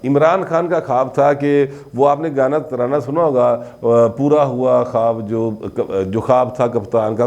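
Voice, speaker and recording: A man's voice: mid-range voice, darkish tone. Pakistani Punjabi man giving informal political commentary. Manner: conversational, slipping between Urdu and Punjabi.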